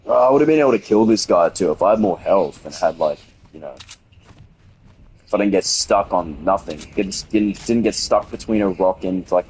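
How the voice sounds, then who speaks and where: A young man with a hoarse, sick-sounding voice gives rambling, swearing commentary as he streams a game and chats with viewers. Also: sits low, a little mellow, slight background noise, rough recording. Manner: rambling, swearing, frequent restarts. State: hoarse from a cold. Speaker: gaming streamer. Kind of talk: casual livestream gameplay commentary.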